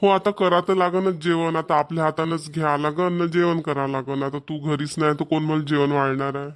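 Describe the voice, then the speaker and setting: A man's voice: a little high, little pitch movement, normal speed, clear, resonant tone, natural voice. A man with a Varhadi Marathi accent voicing a resigned, grumbling middle-aged village husband in a cartoon comedy. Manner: complaining, colloquial.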